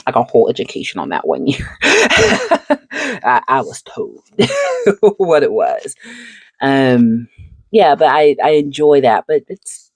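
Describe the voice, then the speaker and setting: A woman's voice: low voice, wildly swooping pitch, takes it slowly, long pauses, husky, no echo. A woman hosting a casual chat while sleeving cards, reflective and chatty, laughing often between thoughts. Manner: seated, talks while handling cards. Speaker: channel host.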